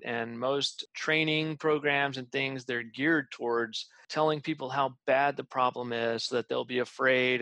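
A man's voice: mid-range voice, pushed and bright, dry recording. A man, a professor, explaining ideas in an academic, explanatory way. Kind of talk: explaining in interview clip.

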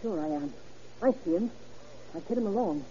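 Gangland woman out for revenge, played by an actress: dark-voiced, slangy, bitter but afraid, dulled old radio recording. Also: lowish voice, expressive, moderate pace, slight background noise, lightly acted. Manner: slangy, tough-talking. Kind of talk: old-time radio crime drama acting. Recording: muffled vintage radio broadcast.

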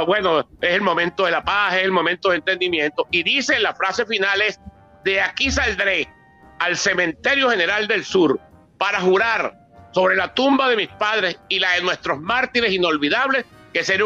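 A man's voice: high-pitched, sharp tone, muffled recording. An older man with a Venezuelan accent giving an emphatic, oratorical public talk on political history, repeating phrases for effect. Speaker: author and politician presenting his book.

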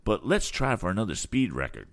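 A modest, obliging balloonist from an adventure storybook, played by a man. He is brisk and exclamatory, his pitch lifted and very animated. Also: vocal fry, heavily acted. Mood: modest, keen.

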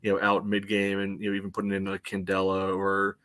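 A man's voice: low voice, monotone, brisk, fairly bright tone. A man giving casual sports analysis as a podcast co-host, conversational and peppered with "you know".

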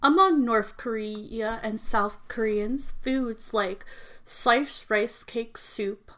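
A woman's voice: a little high, slow pace, fairly bright tone. A woman whose first language is not English, reading an informational text aloud with frequent stumbles and self-corrections. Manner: apologetic about mispronouncing names. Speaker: reader presenting a text.

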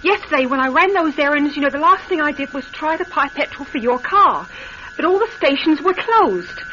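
A woman playing a fussy, excitable British housemaid in a radio drama. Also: high voice, fairly quick, a little hiss or hum. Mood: flustered. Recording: dull lo-fi radio recording.

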